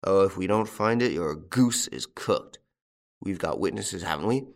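A man's voice: deep voice, unhurried. A man voicing an angry, domineering farmer in an audiobook, with a growling, bullying delivery. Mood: enraged. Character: angry domineering male farmer demanding his stolen money. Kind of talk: audiobook character acting.

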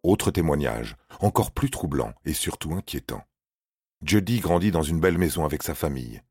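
Very deep, husky male horror narrator. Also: lively intonation, normal speed, a little mellow, dry recording, studio quality. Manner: grave, suspenseful delivery. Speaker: narrator of a paranormal true-story podcast. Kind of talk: scripted dramatic narration of horror stories.

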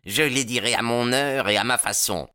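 Casting: male narrator as sullen, indignant villain in a crime storybook, forceful tone, pitched up from a low voice. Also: moderate pace, clear, resonant tone, natural voice. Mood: furious, curt. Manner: sharp, defiant, indignant. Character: furious, resentful male lodge brother, sullen rival.

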